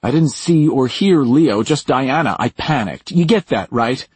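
A man voicing a haunted, defensive woman in an audiobook, urgent and halting, with a raised husky voice. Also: medium pitch, expressive, darkish tone, dry recording, pitched above the performer's own voice. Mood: panicked, defensive. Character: haunted, defensive woman recounting a traumatic night. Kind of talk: audiobook character dialogue.

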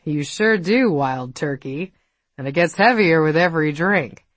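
A woman voicing a cool, guarded, wry New York woman in an audiobook, with a dry and measured delivery. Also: low-pitched, expressive, dry recording. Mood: wry, deadpan. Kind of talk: audiobook character dialogue.

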